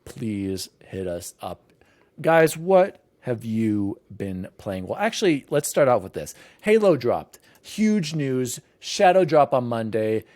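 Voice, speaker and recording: A man hosting a casual gaming podcast, talking in an informal, animated, rambling way. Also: mid-range voice, slow pace. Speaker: podcast host.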